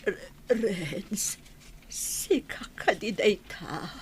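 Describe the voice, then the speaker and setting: A woman acting a weak, ailing, remorseful old mother in an Ilocano radio drama, husky and feeble, with an Ilocano accent. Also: mid-range voice, expressive, extremely slow, slight background noise. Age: older.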